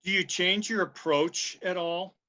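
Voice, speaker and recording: A man's voice: sits high, a little slow, sharp tone. A man working as a reporter, putting questions at a press conference.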